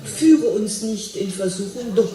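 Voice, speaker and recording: A woman's voice: medium pitch, lively intonation, a little slow, mellow. A middle-aged woman, a theology professor, answering audience questions thoughtfully with many restarts and hesitations. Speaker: theology professor giving a lecture. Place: attic lecture hall in a school. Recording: echoey hall from far off.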